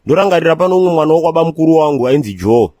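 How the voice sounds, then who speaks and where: Man doing a grumpy streetwise cartoon guy, talks super fast, argumentative rambling, bone dry recording. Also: a little high, animated, mellow, no echo. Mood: ranting. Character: cantankerous, streetwise man ranting comically about his family. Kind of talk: animated comedy storytelling in slang.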